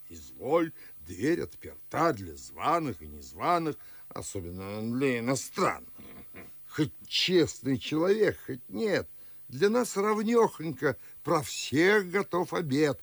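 Pompous old gentleman, husky and theatrical, very animated intonation, dry studio radio play. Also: on the high side, slow pace, dry recording, full character voice. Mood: boastful. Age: older. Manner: declamatory, theatrical, old-fashioned. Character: pompous, garrulous old Moscow nobleman and father. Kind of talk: radio play acting in verse.

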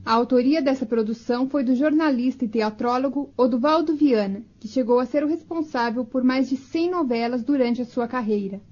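Radio documentary narrator, female, Brazilian, high voice, slightly dull recording. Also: brisk, few pauses, darkish tone, husky.

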